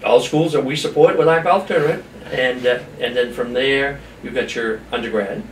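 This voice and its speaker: A man hosting an informal community interview programme, speaking haltingly with pauses mid-sentence. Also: mid-range voice. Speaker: talk show host, chamber of commerce representative. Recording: roomy echo, distant mic, background noise.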